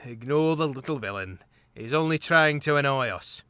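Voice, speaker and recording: Casting: steady mouse warrior leader, plain and level, crisp tone, read by a man. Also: medium pitch, normal speed, dry recording. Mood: weary. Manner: steady, weary.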